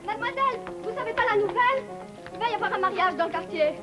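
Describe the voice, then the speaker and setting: Shrill young working-class pied-noir woman. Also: animated, quick pace, over loud music. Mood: spiteful gossip. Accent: French Algerian pied-noir. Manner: colloquial, gossipy, exclamatory. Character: excitable young working-class woman, quarrelsome, under twenty-one. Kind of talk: comic drama dialogue.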